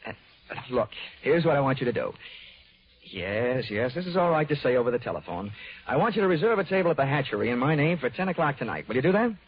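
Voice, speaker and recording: Hard-boiled, wisecracking American investigator. Wry and punchy, animated intonation, old radio show with muffled fidelity. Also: male voice, mid-range voice, fast, a little hiss or hum. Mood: breezy, confident. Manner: wry, flippant, punchy delivery. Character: cocky, wisecracking male insurance investigator, hard-boiled detective. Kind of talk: old-time radio detective drama acting. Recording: dull vintage radio recording.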